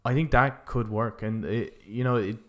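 A man's voice: mid-range voice, moderate pace. A man co-hosting a podcast, rambling and thinking aloud in casual, opinionated chat, with some casual swearing. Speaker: podcast co-host. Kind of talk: casual opinionated chat about films and games.

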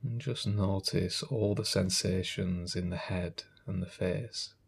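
A man leading a guided meditation as a calm, gentle guide, giving unhurried instructions. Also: low-pitched, vocal fry, husky.

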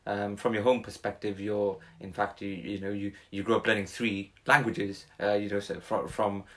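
A man's voice: lowish voice, flat delivery, moderate pace. A young man, talking casually as a podcast guest, rambling with many fillers and restarts. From Wales. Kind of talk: casual conversation.

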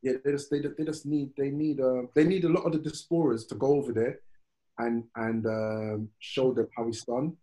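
A man's voice: medium pitch, fairly even intonation, normal speed, warm tone. A man chatting on a podcast, circling his point with restarts and repeated words. His voice sits in a middle register. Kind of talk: casual chat.

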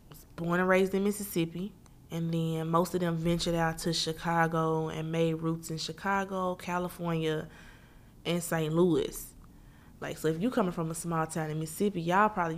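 Low-voiced woman reviewing a book. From Memphis, Tennessee. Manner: chatty, slangy, talks straight to listeners.

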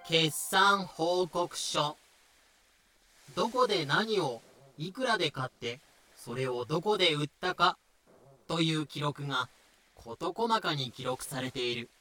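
A man narrating a fan-made voice drama in a measured, explanatory way. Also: on the high side, lively intonation, pushed and bright, heavily acted.

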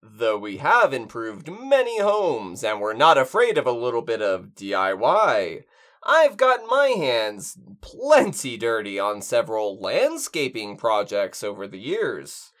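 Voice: medium pitch, huge pitch swings, measured pace, fairly bright tone. A performer playing a pedantic, fussy apocalypse survivor who co-hosts a radio show in a pompous, wordy way. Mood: measured, earnest. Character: pedantic, fussy survivor co-hosting an amateur broadcast. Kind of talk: scripted comedic audio drama, bantering radio show.

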